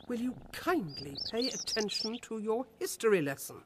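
A woman playing a stern, prim governess in an audio drama, measured and schoolmistress-like. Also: fairly high pitch, very animated, heavy background noise. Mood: disapproving. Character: stern, prim female governess reading lessons.

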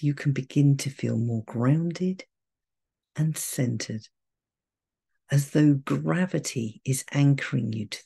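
A woman guiding a meditation in a soft, breathy, soothing voice, gentle and measured with long pauses. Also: a bass voice. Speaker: meditation guide. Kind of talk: guided meditation, calm and soothing.

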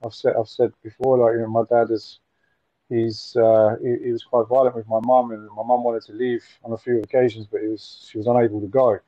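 Halting man in his forties. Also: mid-range voice, little pitch movement, warm tone, no room sound. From Nottingham, England. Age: 40s. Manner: halting, repeats words, frequent fillers. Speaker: interview guest. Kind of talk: casual storytelling interview. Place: room at home with window blinds. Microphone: video call. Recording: muffled dry video call audio.

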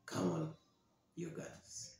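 A young man giving solo football commentary as a podcast host, halting and downcast, speaking into a desk microphone in a small room. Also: a little mellow, slight background noise. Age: young adult. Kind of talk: solo post-match commentary to camera. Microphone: desktop podcast microphone, close. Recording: very echoey room.

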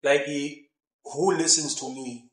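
A South African man in his late thirties, a rapper answering interview questions outdoors, talking in a halting way with many restarts and slipping into Xhosa phrases. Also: a little high, steady tone, a bit bright, reverberant. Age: 30s. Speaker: rapper guest. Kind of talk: casual interview. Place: outdoors by a brick building porch.

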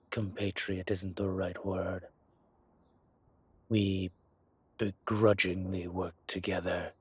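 A very husky, rough-voiced dragon crime lord, acted out by a man, speaks slowly and deep, close and dry. He is suave and arrogant, savoring his words with deliberate pauses in an intimate audio roleplay. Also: fairly bright tone, no echo, full character voice. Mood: haughty, disdainful. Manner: slow, deliberate, pausing, smooth-talking. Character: suave, arrogant male dragon mob boss, older, fond of luxury. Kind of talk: intimate scripted roleplay audio, one-sided conversation.

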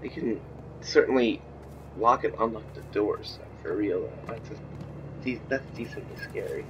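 A young man with a slightly croaky voice gives casual running commentary on a game. He is chatty and jumpy, and game sounds play clearly underneath him. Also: on the low side, lively intonation, unhurried, dull audio, a little hiss or hum. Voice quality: a little croaky. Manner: jumpy, chatty, talks to viewers. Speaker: gamer.

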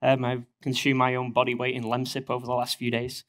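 A man's voice: mid-range voice, fairly quick. Northern English man giving a talk. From northern England. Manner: steady explanatory delivery with frequent ums and uhs. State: getting over a cold. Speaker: conference speaker. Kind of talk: conference presentation. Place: stage of a conference hall, at a lectern.

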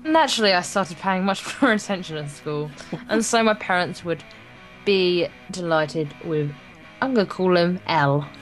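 A woman with an English accent co-hosts a let's play, reading the story aloud with casual comments. Her voice sits a little low, and faint music runs underneath. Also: moderate pace, poor audio. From England.

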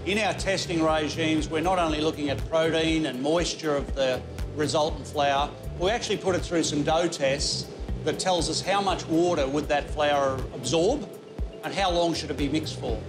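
A middle-aged Australian man, a mill manager, answering interview questions in a measured, explanatory way inside a flour mill control room. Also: a little high, over music.

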